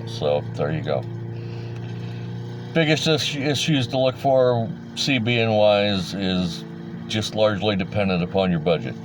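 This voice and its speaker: A man's voice: on the low side, animated, measured pace, background noise. A middle-aged man giving an informal product rundown as a hobbyist, in a plain-spoken, folksy, hesitant manner. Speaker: radio hobbyist host.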